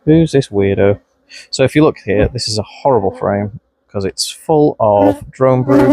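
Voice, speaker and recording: A man's voice: medium pitch, a little mellow, no echo, poor audio. A man with a mild Scouse-Wigan accent, narrating a beekeeping vlog outdoors at his hives, chatting and scolding the bees as he works. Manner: chatty, talks to his bees, voice jumps up when startled. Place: outdoors at beehives in a bee suit. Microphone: mic clipped close, bees crawling on it.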